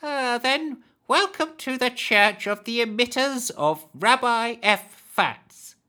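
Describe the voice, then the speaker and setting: A man's voice: extremely high pitch, lively intonation, slow pace, pushed and bright, voice pushed up, exaggerated voice. A man voicing a scruffy, greedy older woman who hisses her words, in a storybook reading. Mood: brisk. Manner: hissing, conspiratorial.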